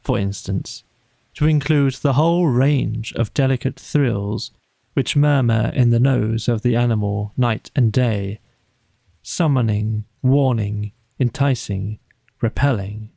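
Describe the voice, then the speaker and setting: Slow, mellow, gravelly male storyteller. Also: mid-range voice, no room sound. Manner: measured, soothing, deliberate phrasing. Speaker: audiobook narrator. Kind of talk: slow bedtime storybook narration.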